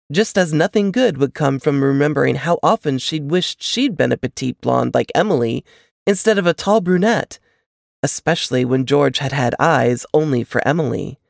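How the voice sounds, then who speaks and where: Woman narrating fiction in an expressive, creaky voice. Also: deep voice, dry recording, clean studio sound. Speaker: author reading from a novel.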